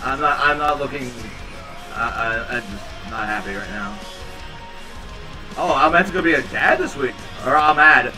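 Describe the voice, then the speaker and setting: A man in his thirties hosting a home livestream, chatting casually with viewers in a rambling, low-key, tired way. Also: expressive, moderate pace, far from the mic, music in the background. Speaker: livestream host reading chat. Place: small home room indoors. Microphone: headset.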